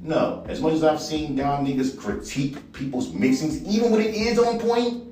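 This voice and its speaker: Baritone guy ranting on his podcast, loud cussing, kinda far off the mic in a super echoey room. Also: moderate pace, music in the background. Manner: animated, profane, rambling with restarts. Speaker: podcast host and rapper. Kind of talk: casual ranting monologue.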